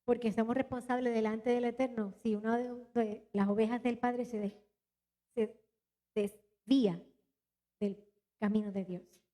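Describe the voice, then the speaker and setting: A woman pastor from Puerto Rico, her Spanish accent a blend of several Latin American ones, preaches to her congregation in a church. Her voice sits high and she talks to the crowd in an animated, direct way. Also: unhurried, pauses often. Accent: mixed Latin American Spanish, little Puerto Rican. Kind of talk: live church sermon and announcements. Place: church hall.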